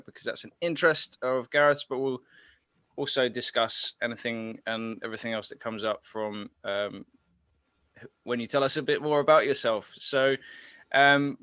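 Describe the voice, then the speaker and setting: A man's voice: mid-range voice, bright, forceful tone, clean tone, dry recording. A man hosting an online interview show, chatty and teasing with many fillers. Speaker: host.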